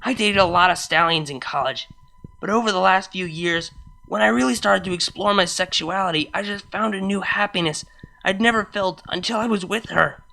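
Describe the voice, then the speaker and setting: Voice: mid-range voice, normal speed, gravelly, sharp tone, pitched above the performer's own voice, rough recording. A reader voicing an anxious, lovestruck young female pony DJ in a hesitant, introspective first-person fan fiction monologue. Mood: tender, doubting.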